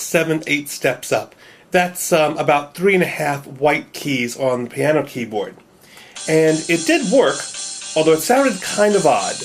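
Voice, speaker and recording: Nasal male narrator, wry and self-deprecating, for a home-made tutorial voice-over. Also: on the high side. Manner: wry, joking. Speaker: video creator.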